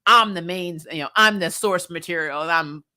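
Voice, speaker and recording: A woman's voice: lowish voice, normal speed, bright, forceful tone. A woman chatting casually as host of a recap stream, blunt, sarcastic and emphatic, swearing freely and sounding exasperated. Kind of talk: casual opinionated livestream review chat.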